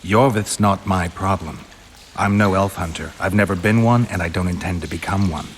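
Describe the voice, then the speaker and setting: An actor playing a stoic, world-weary monster hunter in a video game, terse and dryly understated. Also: male voice, deep voice, moderate pace, slight background noise, close to an everyday voice. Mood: resolute. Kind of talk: video game cutscene acting. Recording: rain and ambience behind the voice.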